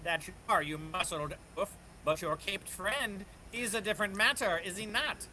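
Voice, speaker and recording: A man playing a gloating, theatrical dark lord villain with grand, archaic speech in a live comic book reading. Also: sits high, moderate pace, a little hiss or hum. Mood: sly gloating.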